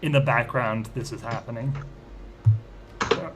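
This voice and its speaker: A man's voice: mid-range voice, fairly even intonation, a little slow, over loud music. A man chatting casually as he plays a tabletop roleplaying game, stammering a little as he reads and explains. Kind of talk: casual group roleplaying chat.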